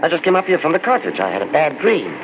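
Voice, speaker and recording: A measured, puzzled old scientist in a vintage radio play, his pitch rising and falling with each question. The sound is dull and lo-fi, with a clear hiss behind him. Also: wildly swooping pitch. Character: calm, puzzled old male scientist professor. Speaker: professor. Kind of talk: 1940s radio acting.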